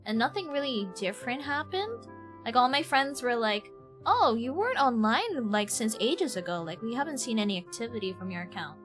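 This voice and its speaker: A woman's voice: fairly high pitch, very animated, moderate pace, fairly bright tone. A young woman from Ireland chatting casually as she streams a game, informal and slangy, often self-deprecating. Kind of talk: casual live stream chat. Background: game music.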